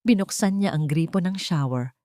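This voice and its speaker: A woman with a low voice reads a scripted drama as narrator. The recording is dry, with very little room sound. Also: moderate pace, warm tone, dry recording. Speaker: story narrator.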